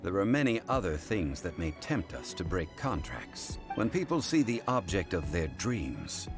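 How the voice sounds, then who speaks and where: A man voicing an ancient, dignified male god in human guise who speaks in a formal, measured, solemn way in a video game. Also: deep voice, big pitch swings. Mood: grave. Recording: game audio with music behind.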